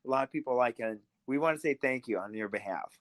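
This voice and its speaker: An enthusiastic man co-hosting a casual podcast. He rambles a little, piling up loose phrases as he goes. His voice sits at a middle pitch and sounds dry and close. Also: quick pace, dry recording. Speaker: podcast co-host. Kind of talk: casual interview chat.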